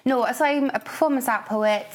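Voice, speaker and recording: A woman's voice: fairly high pitch, normal speed. A Welsh woman, a poet and rapper, chatting as a guest in a studio with effusive praise and many fillers. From Wales. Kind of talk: casual chat. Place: radio studio.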